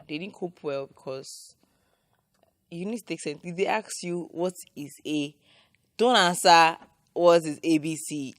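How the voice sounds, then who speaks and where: A woman giving casual, conversational advice as a co-host, informal and animated. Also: deep voice, dry recording, studio quality.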